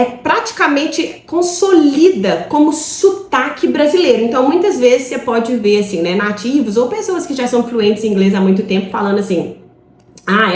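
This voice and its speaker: A woman's voice: fairly high pitch, roomy sound. A woman from Brazil teaching an online English class, explaining step by step and checking in with her students.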